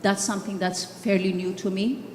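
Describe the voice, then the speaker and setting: A woman's voice: medium pitch, steady tone, normal speed, a little mellow, a little hiss or hum. A middle-aged woman from Ethiopia speaking earnestly on a conference panel, with many fillers and restarts. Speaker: panelist, games organizer. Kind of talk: panel discussion. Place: stage at a conference hall. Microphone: handheld mic. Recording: echoey hall, faint ambience.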